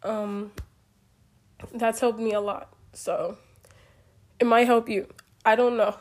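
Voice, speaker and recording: Nineteen-year-old woman with a cold-roughened voice. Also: a little high, level tone, slow pace. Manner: rambling, many ums and you-knows, giggly. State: hoarse from a cold. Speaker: podcast host. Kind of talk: casual solo podcast talk.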